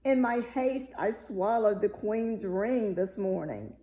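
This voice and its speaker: A woman's voice: medium pitch, lively intonation, slow, warm tone. A woman voicing a fretful, complaining duck in a storybook fairy tale. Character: fretful duck with a heavy stomach. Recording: roomy, echoey room sound.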